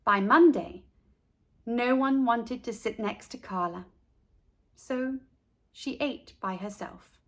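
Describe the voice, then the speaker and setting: A woman reading a children's picture book aloud as a storyteller, in a deliberate, expressive manner. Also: a little high. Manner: slow, deliberate, animated. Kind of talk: expressive read-aloud narration for kids.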